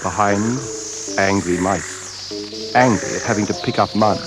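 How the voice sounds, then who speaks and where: Male narrator, lowish voice, solemn deliberate phrasing, radio story, prominent music behind. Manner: measured, solemn, deliberate phrasing with dramatic pauses.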